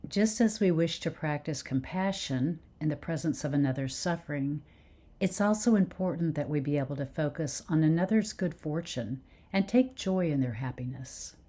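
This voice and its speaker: A woman leading a guided meditation with soothing, gentle instruction in unhurried phrases. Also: deep voice, animated.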